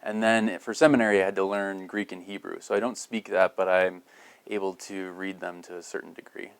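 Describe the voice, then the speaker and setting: Very deep, smooth-voiced man. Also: a little fast, no room sound. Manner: modest, relaxed, some fillers. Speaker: seminary graduate. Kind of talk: interview answer.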